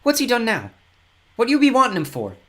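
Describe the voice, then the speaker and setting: A man voicing a hoarse, coarse, mistrustful poor mother who speaks abruptly in broken dialect. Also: on the high side, very animated, brisk, fairly bright tone, pitched above the performer's own voice. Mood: gruff.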